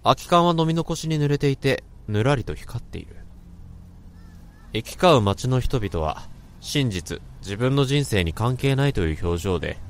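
A man's voice: mid-range voice, animated, moderate pace, natural voice. An actor playing a weary, cynical middle-aged office worker who narrates his own story with dry, sardonic wryness in a radio drama. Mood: brooding. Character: weary cynical male salaryman, inward-looking.

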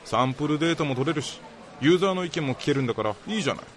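Man acting a gruff boss, lively intonation. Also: on the high side, racing, crowd noise, close to an everyday voice. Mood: bossy, casual. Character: gruff, skeptical male lab chief, easily impressed. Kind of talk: comedic radio drama acting.

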